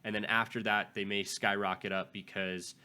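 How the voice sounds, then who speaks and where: Guy w a lowish raspy voice, just getting over being sick, chatty sports channel host, lots of restarts. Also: flat delivery, moderate pace, sharp tone. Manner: conversational, frequent restarts and repeated words. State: raspy from recent illness. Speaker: fantasy football channel host. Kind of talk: casual advice talk to camera.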